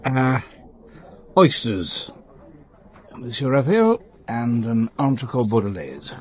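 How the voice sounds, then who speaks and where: Formal, courteous gentleman. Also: mid-range voice, big pitch swings, slow pace. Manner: formal, precise, gently didactic. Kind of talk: British radio drama acting.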